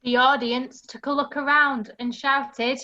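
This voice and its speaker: A woman's voice: sits high, flat delivery, measured pace, sharp tone, lo-fi sound. A woman narrating a rhyming pantomime story as a storyteller.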